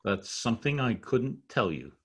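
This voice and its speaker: Suspicious gentleman of the house, radio crime drama, male actor: medium pitch, stiff courtesy, guarded control. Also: very animated, normal speed, husky. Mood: guarded, cool. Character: formal, guarded husband, a suspicious armed householder. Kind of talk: old-time radio drama acting. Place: home recording over video call.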